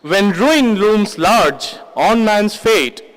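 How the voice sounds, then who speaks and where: A man with an Indian accent, a translator introducing and reading poetry in a measured, literary manner. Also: sits high, lively intonation, rough recording.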